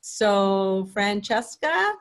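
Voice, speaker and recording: A woman's voice: medium pitch, very animated, very slow. A woman hosting a video call roundtable, welcoming candidates with a few fillers. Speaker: giving circle organizer and host.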